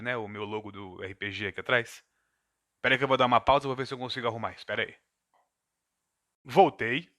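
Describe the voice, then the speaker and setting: A man's voice: medium pitch, sharp tone, no echo, studio quality. A Brazilian man, a game master, giving a thoughtful, digressive talk to a live chat from home, with asides and self-corrections. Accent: Brazilian Portuguese. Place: home room in front of a camera. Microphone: camera mic.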